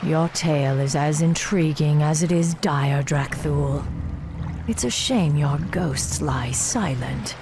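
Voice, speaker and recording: Deep-voiced stern elf warden. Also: female voice, slow, gravelly, background noise, heavily acted. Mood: stern, disdainful. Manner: grave, commanding. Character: stern, relentless female night elf warden commander. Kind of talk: dramatic fantasy game acting.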